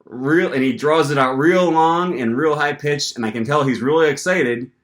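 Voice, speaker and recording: A young man vlogging casually, telling an animated story with lots of fillers and restarts. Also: a little high, moderate pace. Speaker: language-learning vlogger.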